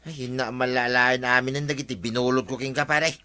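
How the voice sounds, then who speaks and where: A man's voice: mid-range voice, fairly even intonation, a little fast, bright, forceful tone, clear, resonant tone, natural voice. A man with an Ilocano accent playing a careless, pleading neighbour who borrows things, in a colloquial radio drama.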